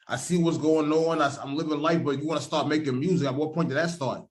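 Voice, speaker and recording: A man's voice: on the high side, steady tone, fast talker. A man hosts a casual interview. He asks questions conversationally, in informal slang. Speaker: podcast interviewer.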